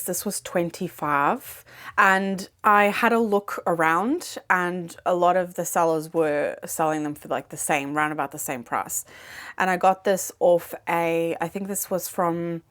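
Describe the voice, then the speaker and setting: Chatty woman with a lowish voice. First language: not English. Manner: chatty, rambling, with many fillers. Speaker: plant vlogger. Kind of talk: casual chat. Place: home, indoors.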